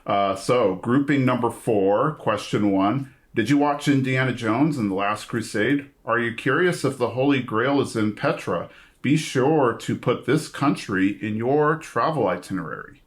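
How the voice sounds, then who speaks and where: A man hosting a trivia game, reading questions out in a measured way and confirming answers. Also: medium pitch. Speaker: quizmaster.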